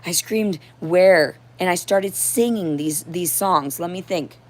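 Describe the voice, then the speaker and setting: A woman with a hoarse voice giving a rambling, unscripted livestream monologue in a confrontational, profane and disjointed manner. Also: lowish voice, normal speed. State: hoarse throat. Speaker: livestream host.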